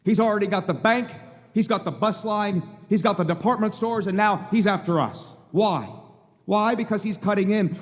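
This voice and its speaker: Male actor as earnest young loan manager, highish voice, stammering, folksy, pleading, live radio play, echoing hall. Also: a little mellow. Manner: stammering, repeating words, folksy. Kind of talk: live radio play acting, 1940s small-town drama. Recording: reverberant hall, live stage performance.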